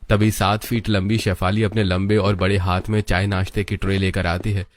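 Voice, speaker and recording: A man's voice: sits low, flat delivery, moderate pace, no room sound, lightly acted. A man reading scripted narration for a family cartoon story.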